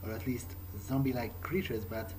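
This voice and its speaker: A man's voice: medium pitch, fairly even intonation, moderate pace, noisy background. A man speaking in character during a video game playthrough, hesitant and tentative as he thinks aloud. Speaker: gamer role-playing his character. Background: video game ambience.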